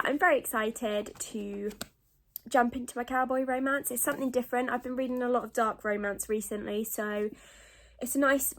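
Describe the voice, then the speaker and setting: A woman's voice: on the high side, a bit bright. A British woman chatting casually in a rambling book vlog, groggy and sniffly with a cold. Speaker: book vlogger.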